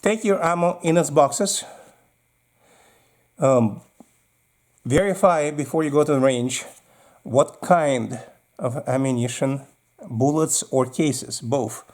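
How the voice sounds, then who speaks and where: A man's voice: medium pitch, takes it slowly, long pauses, a little mellow. A man with a Russian accent giving practical, casual instructions as a video host, explaining while handling his gear.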